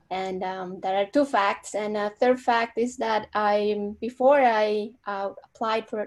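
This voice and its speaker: A woman from Colombia with a Colombian accent and Spanish as her first language, answering interview questions as a guest scientist over a video call, warm and reflective, with ums and small slips. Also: medium pitch.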